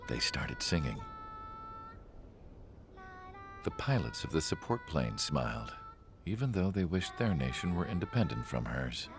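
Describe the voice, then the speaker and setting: A man playing a solemn scientist who narrates a video game story in a measured, reflective way. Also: rumbling low, very animated, over music, heavily acted. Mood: wistful. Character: solemn older male research scientist recalling an ace pilot. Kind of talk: scripted dramatic cutscene narration.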